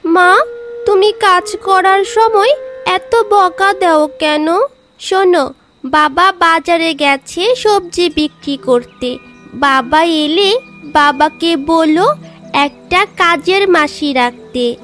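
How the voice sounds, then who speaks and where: A woman's voice: very high voice, takes it slowly, a bit bright, faint music behind, full character voice. A woman voicing a playful young village daughter in a cartoon, whiny and cheeky.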